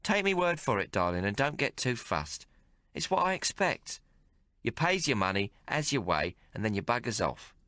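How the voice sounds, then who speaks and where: A man's voice: mid-range voice, very animated, normal speed, sharp tone, no room sound, studio quality, pitched above the performer's own voice. A man reading aloud and voicing a coarse, fiery young female prostitute. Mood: brazen. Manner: coarse, bold, mocking.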